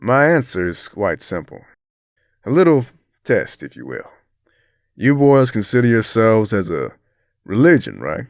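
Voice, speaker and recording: A man's voice: medium pitch, darkish tone, no echo, studio quality. A man playing a big middle-aged crime boss in an audio drama, theatrical and condescending, with menace under a mock-friendly manner.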